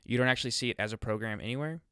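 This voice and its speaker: A man's voice: medium pitch, racing, a bit bright, clear, resonant tone, dry recording. Casting: male how-to presenter, clean resonant tone, informal and chatty, dry close-mic sound. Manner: informal, chatty, thinking aloud while demonstrating. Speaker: tutorial presenter. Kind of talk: casual screen-recorded software walkthrough. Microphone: close USB microphone.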